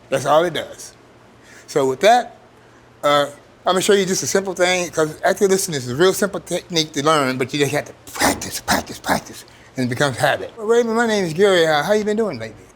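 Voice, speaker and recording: A man's voice: fairly high pitch, fairly bright tone. A man speaking as a trainer in an informal workshop, plain-spoken and repeating phrases for emphasis. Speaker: trainer, program coordinator.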